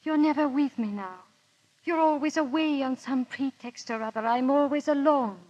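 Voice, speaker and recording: An actress playing a proud, unhappy aristocratic wife with refined, emotive delivery in 1930s radio style. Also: female voice, high voice, normal speed, darkish tone, muffled recording, natural voice. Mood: hurt, wistful. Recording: vintage 1930s radio broadcast.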